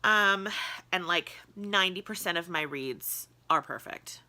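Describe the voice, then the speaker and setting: Sniffly young woman chatting on her vlog, low-ish voice, bouncy and bright. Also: a little slow. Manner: chatty, laughs often, talks sweetly to pets. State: sniffly, congested. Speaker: pregnant vlog co-host. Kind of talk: casual chat.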